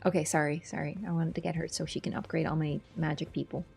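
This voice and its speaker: A woman's voice: deep voice, flat delivery, quick pace, vocal fry, a little mellow. A woman with a Toronto accent streaming a video game, chatting with viewers in a rambling, self-deprecating way. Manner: scatterbrained and rambling, self-deprecating, loses her train of thought. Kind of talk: casual livestream chat, reading and thanking viewers.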